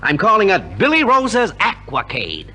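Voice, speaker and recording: A man's voice: sits high, huge pitch swings, unhurried, pushed and bright, slightly rough, heavily acted. An actor playing a brash, confident American showman songwriter in a 1950s radio drama. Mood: eager, confident. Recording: 1950s radio transcription recording.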